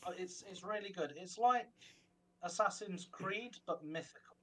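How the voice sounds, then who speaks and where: A man's voice: sits high, normal speed, fairly bright tone. A man, co-hosting a gaming podcast in rambling, opinionated casual chat. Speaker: podcast co-host.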